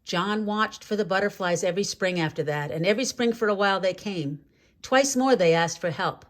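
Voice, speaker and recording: Female storybook reader, mid-pitched, expressive and measured, with dramatic phrasing for a children's tale. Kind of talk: expressive read-aloud children's story narration.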